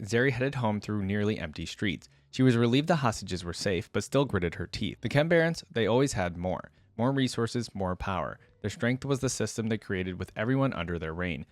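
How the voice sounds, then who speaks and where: Low-voiced man, casual reaction host. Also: no room sound. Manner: informal, enthusiastic fan. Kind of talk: casual reading and commentary.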